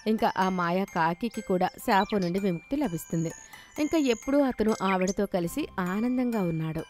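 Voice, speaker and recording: A woman's voice: medium pitch, a little mellow. A woman telling scripted children's moral stories as narrator. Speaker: storyteller, narrator.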